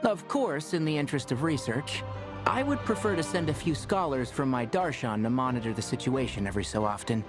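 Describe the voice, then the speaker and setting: A young male scholar from a video game, voiced by a man, explaining things in an articulate, friendly way. His medium-pitched voice moves expressively, and music plays behind it. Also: lively intonation, brisk, natural voice. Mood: reassuring.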